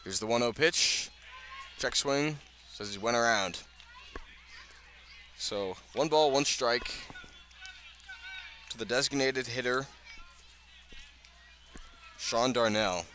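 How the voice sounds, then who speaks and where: A man doing live radio baseball play-by-play, speaking in measured short phrases with pauses between them. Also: mid-range voice, pushed and bright. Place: outdoors at a ballpark.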